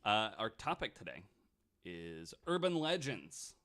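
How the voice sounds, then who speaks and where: A man's voice: on the low side, sing-song, a little slow, fairly bright tone. A man hosting a podcast, telling a story in a loose, chatty way with plenty of likes and the odd mild swear. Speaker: podcast host. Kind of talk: casual storytelling chat.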